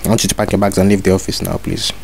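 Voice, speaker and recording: A man's voice: low voice, monotone, rapid-fire, creaky, background noise. A man making a prank phone call as a fake school inspector, bossy and repeating his orders over and over. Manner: bossy, repetitive, demanding orders.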